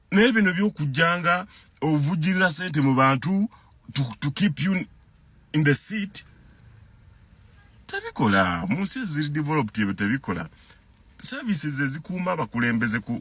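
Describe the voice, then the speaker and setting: Heated Ugandan man, animated and husky. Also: fairly high pitch, dry recording. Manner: animated, repeats words and stumbles when heated. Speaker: concerned citizen commentator. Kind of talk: impassioned political rant to camera.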